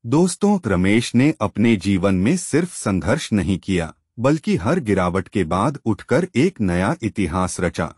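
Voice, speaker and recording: A man's voice: on the low side, sing-song, a little slow, hardly any pauses, darkish tone, husky, no echo, studio quality. A man narrating a scripted motivational story in an earnest, emphatic storytelling manner.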